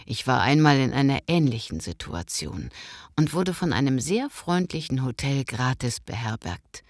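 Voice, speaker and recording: A woman acts as a kind and composed hotel manager in a scripted lesson dialogue. Her voice is low for a woman and recorded very dry. She speaks courteously and formally. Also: very animated, moderate pace, a little mellow, dry recording. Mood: kindly, formal.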